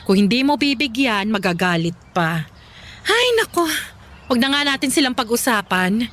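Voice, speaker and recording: Proud chatty filipino mom for radio drama, gushy, lots of exclaiming. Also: female voice, on the high side, sing-song, normal speed, fairly bright tone. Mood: chatty, contented. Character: chatty, proud middle-aged mother, sociable and status-conscious. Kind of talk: Tagalog radio drama acting.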